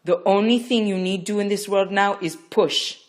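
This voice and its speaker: A woman's voice: medium pitch, steady tone, moderate pace, voice pushed up, close to an everyday voice. A woman voicing a stern, urgent female midwife who barks firm orders during a birth. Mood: commanding.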